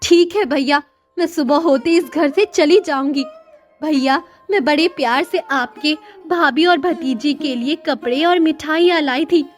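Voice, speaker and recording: A woman's voice: high voice, measured pace, soft background music. A woman playing a humble, devoted poor sister in a moral-story cartoon, earnest and deferential. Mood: meek, hurt.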